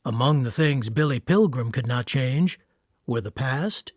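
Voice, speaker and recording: A man narrating a radio drama in a measured, literary storytelling manner. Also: medium pitch, animated, no echo. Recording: noisy ambience under narration.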